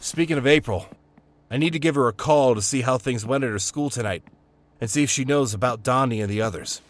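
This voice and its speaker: A man's voice: medium pitch, a little fast, noisy background, close to an everyday voice. A man playing a casual, streetwise young guy in an audio drama, informal and plain-spoken. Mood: concerned, startled.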